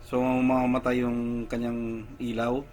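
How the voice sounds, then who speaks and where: A man walks viewers through a gadget setup in a casual how-to video. He talks unhurriedly, step by step, often pausing on fillers as he goes. His pitch sits in an ordinary middle range. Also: completely flat delivery, darkish tone, a little hiss or hum. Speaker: tech vlogger.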